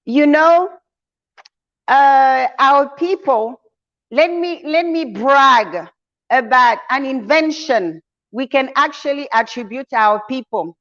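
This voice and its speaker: High-pitched woman giving an emphatic address. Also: lively intonation, slow, a bit bright, dull audio. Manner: emphatic, preacher-like, addressing listeners with endearments. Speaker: channel host, community leader. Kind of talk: motivational address to online followers.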